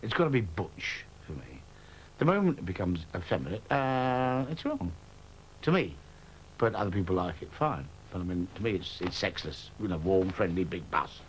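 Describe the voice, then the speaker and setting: A man's voice: deep voice, sing-song, moderate pace, a little hiss or hum, rough recording. A man, a pantomime dame performer, frankly reminiscing and chuckling in an interview. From England.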